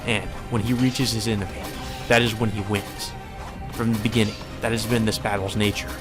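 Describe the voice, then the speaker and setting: A man's voice: lowish voice, little pitch movement, fairly quick. A man reading a visual novel aloud as solemn, dramatic scripted narration. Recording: music behind the voice.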